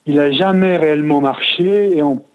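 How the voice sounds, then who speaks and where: A man's voice: on the high side, moderate pace. A man giving casual feedback as a customer, explaining in a hesitant, repetitive, roundabout way. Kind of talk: casual spoken phone feedback. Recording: tinny, narrow phone line.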